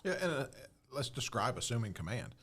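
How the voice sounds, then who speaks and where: A man's voice: mid-range voice, sing-song, brisk, fairly bright tone, breathy edge. A man explaining procedures in a casual panel discussion, thinking aloud and repeating words as he goes. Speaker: podcast panelist and fire service instructor.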